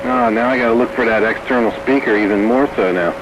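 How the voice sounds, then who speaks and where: A man's voice: medium pitch, quick pace. A man in casual conversation over two-way radio, remarking on signal and equipment as a fellow hobbyist. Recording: muffled, noisy two-way radio transmission.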